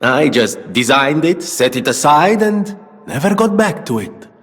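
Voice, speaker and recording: An actor playing a vain, gracious, excitable gentleman inventor in his thirties, with pompous, florid, theatrical video game delivery. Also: on the high side, measured pace, big-room sound, faint music behind. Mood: gracious, self-important. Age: 30s.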